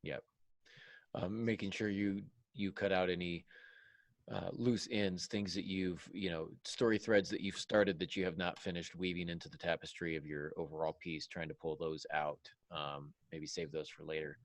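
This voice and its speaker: A man's voice: sits low, flat delivery, moderate pace, no echo. A man hosting a podcast panel in an informal, casual discussion style. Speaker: podcast host.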